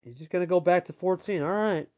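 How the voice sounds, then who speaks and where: A man's voice: high-pitched, quick pace, darkish tone, dull audio. A man giving live sports-style commentary on a card game as host, talking colloquially and addressing players like buddies.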